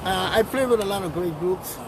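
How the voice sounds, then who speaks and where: A man from St. Maarten, talking haltingly about his music career in a street interview. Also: high voice, a crowd in the background, poor audio. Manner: halting, repeats words, encouraging. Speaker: musician guest. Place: outdoors on a street. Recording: loud street noise. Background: traffic and passing planes.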